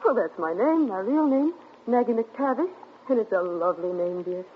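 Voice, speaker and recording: An actress playing a dreamy, unsettled young wife in 1940s radio acting, soft, airy and sing-song. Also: female voice, mid-range voice, expressive, moderate pace, phone-quality sound, faint music behind, lightly acted. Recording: scratchy 1940s radio broadcast.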